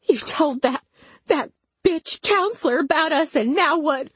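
A woman voicing a bitter, unstable mother in an audiobook, shrill and accusing. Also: lively intonation, extremely slow, bright, forceful tone, dry recording, heavily acted. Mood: bitter accusation. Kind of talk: dramatised audiobook dialogue.

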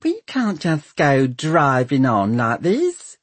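A man's voice: on the high side, huge pitch swings, slow pace, husky, no echo, voice pushed up, exaggerated voice. A man voicing an anxious, grumbling female servant, fretful and muttering, in an audiobook story. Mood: worried.

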